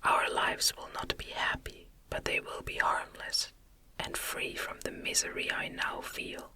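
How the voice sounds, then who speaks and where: A lonely, hideous outcast monster played by a woman pleads in formal, eloquent phrases. The voice is a breathy ASMR whisper, very slow and sharply sibilant, earnest and imploring in a gothic storybook manner. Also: sharp tone. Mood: pleading.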